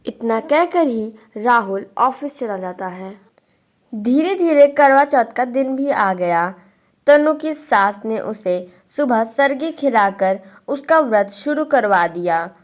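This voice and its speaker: A woman's voice: a little high, animated, slow pace. A woman narrating a scripted moral story for children.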